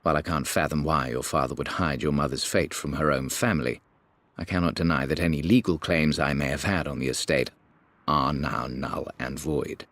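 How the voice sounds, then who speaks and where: An older British uncle, aristocratic and stiff, in a game's letter voice-over. His voice is extremely deep, formal and measured, recorded close and dry. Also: fairly even intonation, a bit bright, dry recording. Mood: stiff, resigned. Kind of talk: letter voice-over in a game cutscene.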